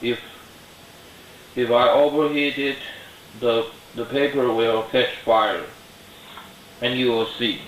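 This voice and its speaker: Guy doing a diy repair demo, really slow n careful, roomy echo, bit of noise. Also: medium pitch, fairly even intonation. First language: not English. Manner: halting, careful, step-by-step. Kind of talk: unscripted how-to narration. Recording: echoey room, light noise, clicks.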